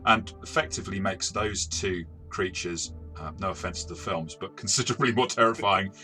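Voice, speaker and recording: Bright-voiced, low-pitched man reviewing games. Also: fairly quick, soft background music. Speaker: co-host and game reviewer. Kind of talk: review discussion, casual chat.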